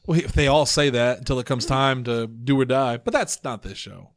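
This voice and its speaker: एक आदमी जो पॉडकास्ट का सह-होस्ट है, मज़ाकिया और व्यंग्य भरे अंदाज़ में बातें करता है और अक्सर हँसता है। रिकॉर्डिंग सूखी और पास के माइक से है, गूँज नहीं।. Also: male voice, mid-range voice, animated, normal speed, no echo. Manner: joking, sarcastic, laughs often. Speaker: podcast co-host. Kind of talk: casual podcast chat.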